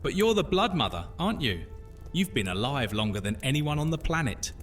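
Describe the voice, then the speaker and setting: A man's voice: on the high side, very animated, fairly quick, a bit bright. An actor playing a curious, chatty half-witch newcomer in an audio drama, inquisitive and informal. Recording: music under the voice.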